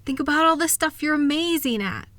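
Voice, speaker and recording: A woman playing a loving, reassuring wife in an intimate comfort roleplay, speaking in a gentle, soothing, affectionate way. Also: sits high, lively intonation, brisk, dry recording. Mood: tender, reassuring. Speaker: audio roleplay voice performer.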